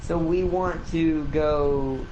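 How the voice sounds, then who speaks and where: A man giving casual gameplay commentary and teaching a co-player, halting and often repeating himself. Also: fairly high pitch, fairly even intonation. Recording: roomy echo, loud background noise.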